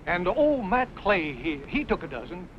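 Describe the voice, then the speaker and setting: A chatty country storekeeper with a high man's voice. He talks haltingly, thinking aloud in a folksy way. It is an old radio drama, muffled and faintly noisy. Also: big pitch swings. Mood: chatty, musing. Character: talkative, folksy country storekeeper, slow to recollect. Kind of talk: old-time western radio drama acting.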